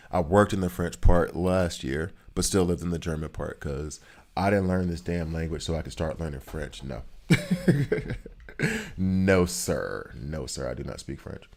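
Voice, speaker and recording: A man with a deep, low American voice talks loosely through a gaming session. He rambles and cracks jokes as he picks up questions from his chat, in an easy, unhurried way of a streamer in his thirties. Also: darkish tone. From United States. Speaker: streamer chatting with viewers. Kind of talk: casual gameplay chatter.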